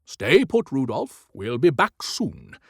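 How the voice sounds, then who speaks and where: A man voicing a weary but authoritative Santa Claus in an audiobook, measured and mildly formal. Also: mid-range voice, very animated, slightly rough, no room sound, studio quality, heavily acted. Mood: gentle. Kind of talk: cinematic audiobook character acting.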